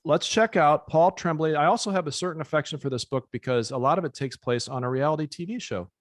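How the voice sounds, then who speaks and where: A man's voice: on the high side, quick pace, dry recording, studio quality. An American man chatting casually as a podcast host, with a wry, playfully showy way of presenting. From United States.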